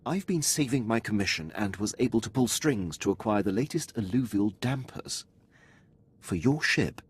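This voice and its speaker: An actor playing a dutiful, precise Imperial officer in a video game, speaking formally and deferentially. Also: male voice, fairly low pitch, expressive, normal speed, slightly rough. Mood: composed, pleased.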